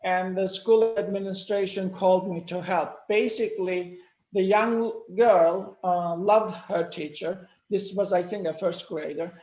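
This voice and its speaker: An older man from Turkey, speaking natively, giving a measured lecture-style talk with many pauses. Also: high-pitched, steady tone, darkish tone, echoey room, highs cut off. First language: Turkish. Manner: measured, explanatory, with frequent "uh" pauses. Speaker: panel speaker. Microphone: video call.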